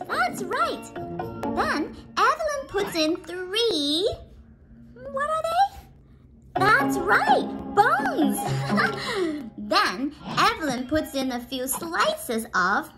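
Children's storyteller: woman, very high voice, very slow delivery, coaxing questions, music behind. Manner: animated, exclaiming, coaxing questions with drawn-out words. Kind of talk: interactive storytelling for preschoolers. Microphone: phone or tablet video call, close to face.

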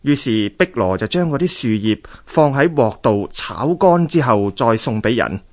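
A man's voice: medium pitch, lively intonation, normal speed, darkish tone. A man with a Hong Kong accent narrating a radio drama as a scripted story.

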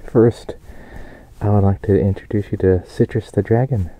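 A man hosting an ASMR channel, speaking softly and gently to viewers, close to the microphone. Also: fairly low pitch, steady tone, moderate pace, slight background noise. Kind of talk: soft-spoken ASMR.

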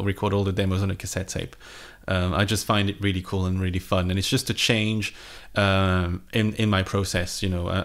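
A man in his thirties chatting casually and unscripted, like a music vlogger talking to camera from his home studio. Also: on the low side. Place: small home studio room indoors. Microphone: microphone on a boom arm, close.

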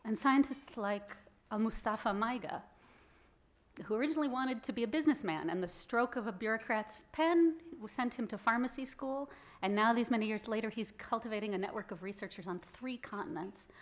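A woman's voice: fairly high pitch, brisk. Woman in her 30s doing an award acceptance speech, earnest, punches key words, stand mic in a hall. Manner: earnest, rising emphasis on key phrases. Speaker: award recipient giving speech. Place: event hall with audience, indoors. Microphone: stand mic at podium.

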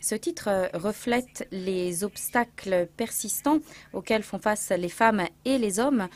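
A woman delivering a formal prepared speech at a lectern in a large conference hall. She speaks in a measured way and reads from notes. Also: mid-range voice. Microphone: lectern microphones.

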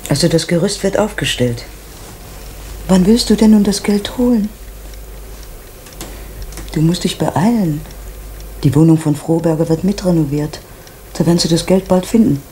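Actress as hushed, scheming wife. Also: female voice, on the low side, normal speed, long pauses, natural voice. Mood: conspiratorial. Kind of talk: East German radio drama acting. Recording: old radio recording, faint noise.

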